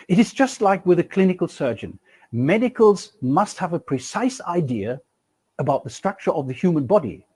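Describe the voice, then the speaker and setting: A middle-aged man giving a recorded university e-lecture, asking rhetorical questions and stressing words with strong swings in his delivery. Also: breathy edge. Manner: animated, rhetorical questions, emphatic swings in pitch.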